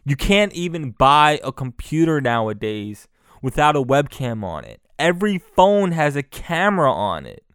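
A man's voice: on the high side, big pitch swings, moderate pace, fairly bright tone, smooth, no room sound, clean studio sound. A young man, hosting his own podcast in a loose, profane, mocking solo rant full of restarts. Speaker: podcast host.

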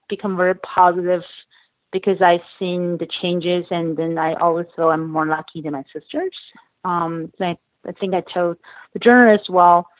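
A woman's voice: lowish voice, no room sound. Woman on a tinny phone line. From northeast China. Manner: hesitant, many fillers and restarts. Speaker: guest, company finance chief. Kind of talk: podcast interview, casual chat.

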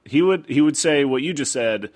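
A man co-hosting a casual comedy podcast, explaining things in a halting, mock-scholarly way. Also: fairly high pitch, lively intonation, a little mellow. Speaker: podcast co-host.

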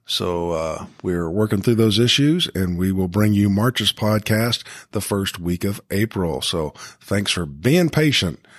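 A man's voice: sits low, animated, a little slow, few pauses, no echo, studio quality. A man hosting a casual school podcast, encouraging his co-hosts and prompting them with questions. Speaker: podcast host.

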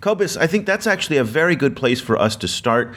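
A man hosting a podcast, talking in a relaxed conversational way and telling stories with frequent fillers. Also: medium pitch, a little fast. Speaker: podcast host.

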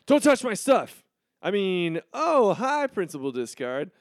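A man's voice: high-pitched, big pitch swings, a little slow, no echo, exaggerated voice. A man voicing a cocky, spoiled, swaggering seventeen-year-old rich boy vigilante in a cartoon. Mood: flustered. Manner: swaggering, bratty. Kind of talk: cartoon voice acting.

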